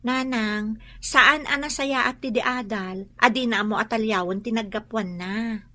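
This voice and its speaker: A woman's voice: a little high, a little slow, sharp tone. A woman playing a young, opinionated schoolgirl daughter in a radio drama. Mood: scornful, chatty. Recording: prominent music behind the voice.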